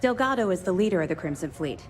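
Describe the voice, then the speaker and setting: A woman's voice: lowish voice, expressive, fast talker, a little hiss or hum, natural voice. A woman playing a cautious, dutiful space navy lieutenant, speaking formally and precisely in a scripted video game. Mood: informative. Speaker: military officer character in a space game.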